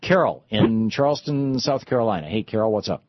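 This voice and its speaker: A man's voice: fairly low pitch, expressive, fairly quick, dry recording. A man hosting a call-in radio talk show, agreeing with callers and thinking aloud with restarts. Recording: muffled radio broadcast.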